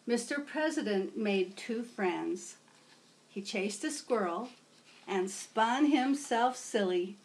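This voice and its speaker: Female storybook reader, middle-aged, unhurried and expressive, for young children, recorded in a home room. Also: a little high, rough recording. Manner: measured, storytelling. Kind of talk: expressive read-aloud for children. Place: small home room with bookshelves.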